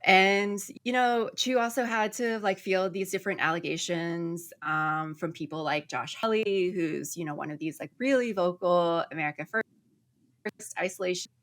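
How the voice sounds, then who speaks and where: A woman's voice: on the low side, normal speed, a bit bright. A young woman talking through political news in a casual, loose way, full of ums and likes, often checking in with "right?". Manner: filler-heavy, ends points with "right?". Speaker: political commentator.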